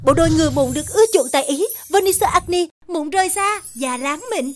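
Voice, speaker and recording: A woman reads an advert in a very high, upbeat voice. It is a scripted promotional delivery with loud music behind it. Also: fairly quick. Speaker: advert announcer.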